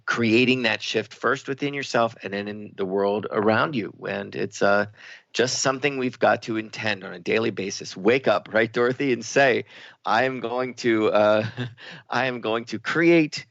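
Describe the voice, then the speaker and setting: Gravelly man on a muffled line. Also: on the low side, normal speed, fairly bright tone, dry recording. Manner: reflective, many "uh" fillers. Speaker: radio host. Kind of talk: radio talk show discussion.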